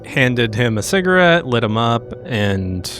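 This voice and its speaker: A man's voice: medium pitch, sing-song, measured pace, fairly bright tone, faint music behind. A man playing a tabletop roleplaying game, blunt and informal as he talks in and out of character.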